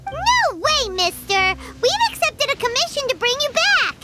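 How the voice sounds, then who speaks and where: Tiny childlike fairy sidekick, very high squeaky voice, perky, swooping intonation, game dialogue, loud music behind. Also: female voice, sing-song, unhurried, exaggerated voice. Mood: indignant. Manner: perky, speaks in third person. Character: tiny chirpy childlike floating fairy companion, talks about herself by name. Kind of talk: video game voice acting.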